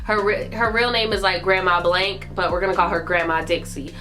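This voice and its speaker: A woman's voice: fairly low pitch, steady tone, a little fast, music in the background. Congested young woman telling a story. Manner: chatty, lots of fillers like "like". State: congested from a cold. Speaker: YouTuber. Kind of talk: storytime vlog.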